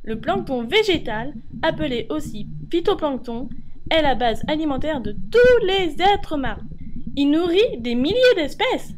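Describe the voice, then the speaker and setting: A woman's voice: high-pitched, big pitch swings, measured pace, noisy background. A woman reading scripted educational narration for children, explaining things and addressing the viewers directly.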